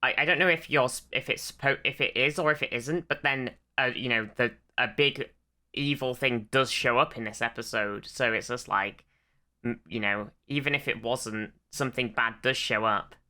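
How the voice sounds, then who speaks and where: A young man chatting loosely on a podcast, his voice bright and forward with a smooth, clear tone. He rambles through restarts and "um"s, circling back over his own sentences. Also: mid-range voice, moderate pace. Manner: rambling, full of restarts and fillers, giggles while retelling jokes. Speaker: podcast co-host. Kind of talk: casual chat.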